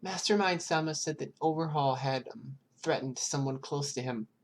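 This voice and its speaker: A narrator voicing a frightened, timid little girl in an audiobook, speaking haltingly and hesitantly. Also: mid-range voice, voice pushed up, lightly acted. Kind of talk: acted audiobook dialogue.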